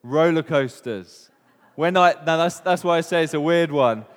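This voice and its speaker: Chatty Welsh preacher in a reverberant hall. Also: male voice, on the high side, normal speed. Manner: chatty, asks the room questions, many ums. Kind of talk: informal sermon with questions to the congregation.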